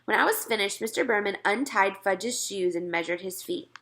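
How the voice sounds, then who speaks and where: A woman narrating a children's story as an expressive read-aloud. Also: mid-range voice, moderate pace.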